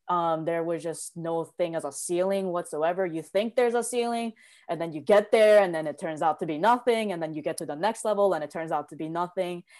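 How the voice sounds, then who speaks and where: A woman's voice: on the low side, a little mellow. A woman in her late twenties from South Korea sharing her personal story in an earnest, reflective, emphatic way during a conversation. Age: late 20s.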